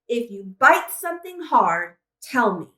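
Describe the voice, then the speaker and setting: A woman's voice: on the high side, sing-song, unhurried, a bit bright. A woman voicing an eager, anxious, bossy young girl in a children's storybook, speaking emphatically. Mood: bossy, urgent. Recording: roomy echo, distant microphone.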